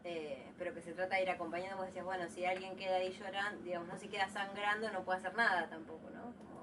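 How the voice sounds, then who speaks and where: A woman's voice: on the low side, fairly even intonation, fast talker, far from the mic. A woman with an Argentine Rioplatense accent in an informal interview, thinking aloud in a tentative, hesitant way. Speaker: interviewer from a hospital psychology residency. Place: room at a hospital talk. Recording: off-mic, clearly noisy room.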